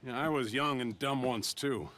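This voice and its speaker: A man as worldly-wise gentleman, faint noise behind. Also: medium pitch, moderate pace, close to an everyday voice. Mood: assured, kindly. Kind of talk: video game dramatic dialogue.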